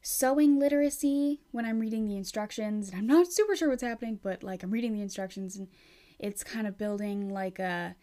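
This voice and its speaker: A woman's voice: medium pitch, brisk, warm tone. A woman hosting her own podcast in a casual, rambling way, chatty and frank, with some swearing. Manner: rambling, with many fillers and restarts. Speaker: podcast host. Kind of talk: casual solo podcast chat.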